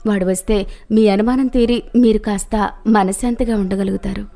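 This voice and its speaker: A woman voicing a landlord's concerned, devoted wife in an animated moral story. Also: mid-range voice, normal speed, creaky, close to an everyday voice. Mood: reassuring.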